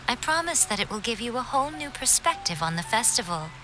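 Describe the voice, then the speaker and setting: A woman playing a sly, persuasive, teasing festival organizer in a video game, speaking in a measured and eloquent way. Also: fairly high pitch, big pitch swings, music in the background, poor audio. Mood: playful, assured.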